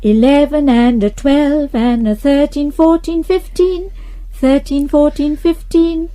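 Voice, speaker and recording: A woman's voice: sits high, fairly even intonation, slow pace, dark tone. A woman demonstrating a children's counting rhyme in a chant for an English lesson.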